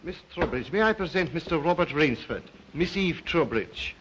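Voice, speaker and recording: Actor playing a suave, theatrical Russian Cossack count. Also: male voice, on the high side, expressive, normal speed, lo-fi sound, noisy background, close to an everyday voice. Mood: smooth, ingratiating. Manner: courtly, formal, ingratiating, theatrical. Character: suave Cossack count, courtly, sinister, aristocratic host.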